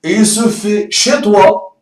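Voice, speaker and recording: A high-pitched man with an Arabic accent rolls his R's as he speaks French, his second language. He pitches his course playfully and emphatically, asking rhetorical questions. The sound is roomy and echoey, picked up from some distance. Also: fairly even intonation, slow pace, darkish tone. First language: not French. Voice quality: rolled R. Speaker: Arabic teacher.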